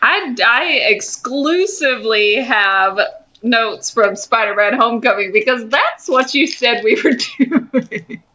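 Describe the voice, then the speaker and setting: A woman's voice: on the high side, animated, slow pace, sharp tone, rough recording. A woman co-hosting a podcast in casual banter, with a mock-exasperated manner. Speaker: podcast co-host.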